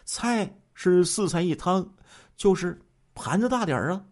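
A man's voice: high voice, animated, measured pace, pitched above the performer's own voice. A man voicing a hospitable, genial township chief in a story, coaxing in a colloquial way.

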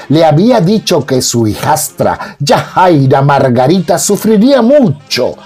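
Lively high-pitched male soap opera narrator. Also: breathy edge. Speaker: radio drama narrator. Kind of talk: dramatic scripted narration.

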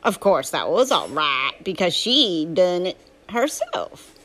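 A woman plays a restless, plainspoken country boy telling his own story from a storybook. The accent is Southern US, folksy and drawling, and the pitch swings freely from phrase to phrase. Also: big pitch swings, slow pace, a bit bright, heavily acted. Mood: grumbling, wry. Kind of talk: first-person storybook narration.

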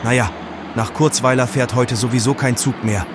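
A man as a mid-pitched village local in street noise. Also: steady tone, moderate pace, close to an everyday voice. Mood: matter-of-fact. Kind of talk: comic audio drama acting. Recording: clear outdoor traffic noise.

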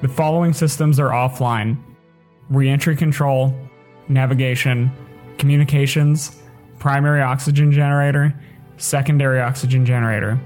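A man's voice: medium pitch, fairly even intonation, moderate pace, darkish tone, soft background music, close to an everyday voice. A man voicing an indifferent spacecraft computer in a story reading, announcing in a perfunctory, listing manner. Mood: indifferent warning.

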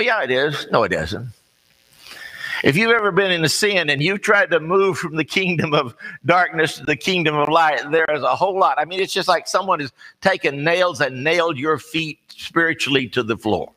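An American man preaching a sermon in a folksy, conversational storytelling way, plain-spoken and pausing deliberately. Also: a little high, pushed and bright. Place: large reverberant room, preaching to a congregation. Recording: long hall reverb.

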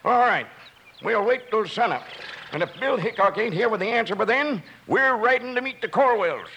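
An actor playing a stern, combative clan patriarch in an old-time radio Western, with an American Western drawl. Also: male voice, high-pitched, lively intonation, moderate pace, sharp tone, dull audio, full character voice. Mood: defiant, threatening. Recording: noisy old radio recording.

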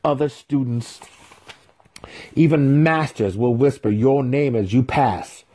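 Man acting out a scornful, pitched-up elf weapon master. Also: expressive, a little slow, dark tone, breathy edge. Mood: challenging, mocking. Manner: derisive, theatrical taunting. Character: scornful veteran dark elf weapon master, male, taunting.

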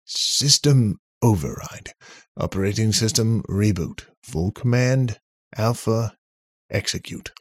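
A man voicing an awkward, defensive male inventor in a story, with a halting, stammering delivery. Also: on the low side, no echo, studio quality, pitched above the performer's own voice. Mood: tentative.

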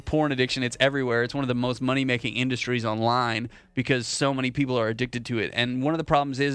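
A man's voice: mid-range voice, fairly bright tone, no echo, studio quality. A man, a pastor, hosting a casual faith discussion podcast in an upbeat, chatty way, laughing easily as he talks.

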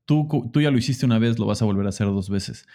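Host, man, low and mellow voice, thinks out loud, unhurried and hesitant. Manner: hesitant, with many fillers and restarts, reflective. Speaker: interviewer. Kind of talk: casual interview.

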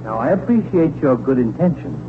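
A man's voice: mid-range voice, lively intonation, brisk, close to an everyday voice. An actor playing an exasperated, put-upon middle-aged father, blustering and complaining sarcastically in a 1940s radio comedy. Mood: exasperated lecturing. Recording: noisy, tinny 1940s radio broadcast.

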